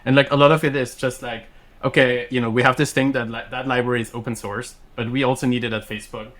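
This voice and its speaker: A man's voice: mid-range voice, flat delivery, quick pace, fairly bright tone. A man in his thirties from Austria, a native German speaker, chatting as a podcast guest in a rambling way with lots of fillers and restarts. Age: 30s. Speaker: podcast guest, software engineer. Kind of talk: casual chat.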